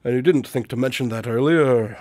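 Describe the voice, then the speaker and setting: An actor playing a shrewd, confident male detective in a radio drama, speaking in a measured, deliberate and dryly condescending way. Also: mid-range voice, darkish tone, no echo, studio quality, natural voice. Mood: assertive, condescending.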